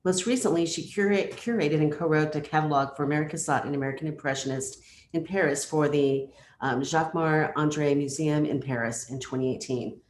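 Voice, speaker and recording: A woman's voice: sits low, brisk, darkish tone. A woman hosting an online event, reading a prepared introduction formally with small stumbles. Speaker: event host introducing a speaker. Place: indoors, on a video call. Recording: echoey room, faint background noise.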